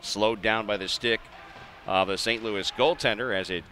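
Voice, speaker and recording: A man with a bright, forceful American voice calls live ice hockey. He is conversational and chatty, with rink noise around him in the arena. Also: lowish voice, fairly even intonation, normal speed. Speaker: play-by-play sports announcer. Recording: clear arena ambience noise behind the voice.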